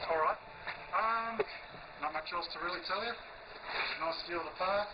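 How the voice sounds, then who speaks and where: A man's voice: sits high, normal speed. An Australian man giving a casual, unscripted walkthrough of equipment outdoors in a park, with a hesitant, colloquial, laid-back delivery over muffled, noisy transmission audio. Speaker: amateur television operator presenting from a portable station.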